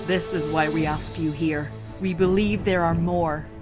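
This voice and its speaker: A woman's voice: lowish voice, steady tone, a little slow, lightly acted. A woman playing a formal female monarch in a visual novel, courtly and earnest. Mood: earnest appeal. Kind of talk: dramatic visual novel acting. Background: music.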